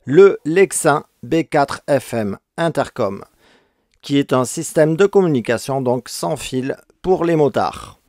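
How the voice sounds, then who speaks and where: Male narrator for scripted product review voice-over, mid-range pitch, very dry close sound. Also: darkish tone, no echo.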